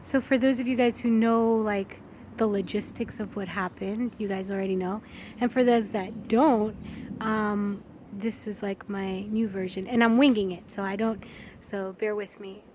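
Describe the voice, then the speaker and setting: A woman's voice: mid-range voice, fairly even intonation, moderate pace, darkish tone. A woman in her thirties speaks in a mid-range voice, thinking aloud as she records a personal vlog on her phone. Her delivery is candid, casual and a bit rambling. There is noticeable ambient noise, like vehicles, behind her. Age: 30s. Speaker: vlogger.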